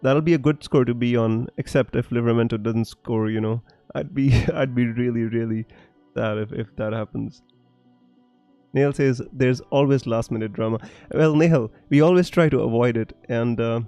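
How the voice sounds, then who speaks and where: Young guy from punjab, mixed accent, rambling live stream chat, soft warm voice, faint music under it. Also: medium pitch. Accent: mixed, hard to place. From Punjab, India. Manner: chatty, frequent fillers and restarts, laughs often. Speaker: fantasy football streamer.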